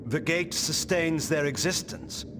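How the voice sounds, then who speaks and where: An actor playing a proud, cold vampire antihero in a fantasy game, speaking in a haughty, formal and clipped way. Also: male voice, on the high side, a little slow, background noise, close to an everyday voice. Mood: cold, probing. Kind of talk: theatrical fantasy game cutscene acting.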